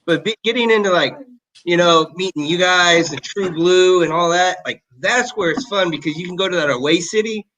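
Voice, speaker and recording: A man's voice: high voice, normal speed, fairly bright tone, poor audio. Easygoing male sports fan guest. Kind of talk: casual chat.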